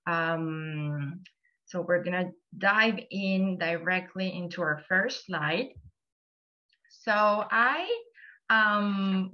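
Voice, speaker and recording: A woman with a Venezuelan Spanish accent speaks at a mid pitch, friendly and often pausing on "um" as she walks through slides. Her voice comes through a video call and sounds a little muffled. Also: bright, forceful tone. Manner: friendly, many "um"s, explaining slide by slide. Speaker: guest speaker. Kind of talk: online presentation to a church group.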